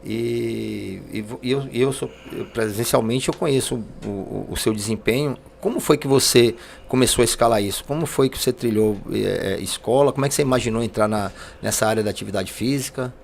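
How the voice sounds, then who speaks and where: A man hosting a podcast, interviewing casually and haltingly, repeating words as he builds his questions. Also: medium pitch, vocal fry, slight background noise. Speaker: podcast host.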